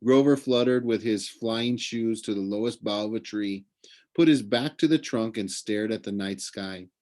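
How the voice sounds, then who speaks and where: A man's voice: fairly low pitch, normal speed, darkish tone. Man reading a story aloud. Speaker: reader of a classroom audiobook. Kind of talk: storybook narration read aloud.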